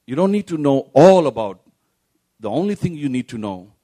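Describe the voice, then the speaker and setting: A man's voice: on the high side, sing-song, moderate pace, dark tone. A man speaking as a panelist, exhorting listeners with emphatic, insistent repetition.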